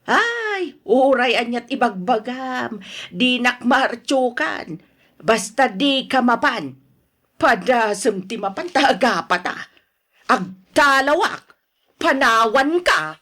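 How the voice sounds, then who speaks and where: A woman's voice: on the high side, big pitch swings, takes it slowly, pushed and bright, heavily acted. A woman playing an exasperated middle-aged wife in an Ilocano radio drama, speaking with an Ilocano accent in a nagging, exclamatory manner. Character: exasperated middle-aged wife scolding, then placating her husband.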